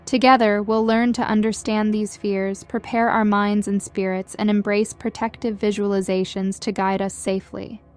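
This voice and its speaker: A woman reading a scripted guided meditation in a gentle, soothing, measured way, as a guide leading listeners. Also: medium pitch, flat delivery, smooth. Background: background music.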